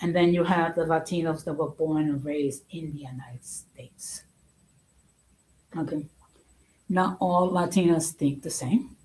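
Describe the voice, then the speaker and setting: A woman speaks as the host of an informal talk, in a slightly low, relaxed voice. She moves very slowly and deliberately, halting often and repeating phrases to press her point. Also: poor audio. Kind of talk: informal opinion talk to an audience.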